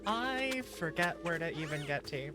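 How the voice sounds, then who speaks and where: Woman game streamer over loud music. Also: fairly low pitch, expressive, moderate pace. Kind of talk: casual game stream chat, thinking aloud while playing.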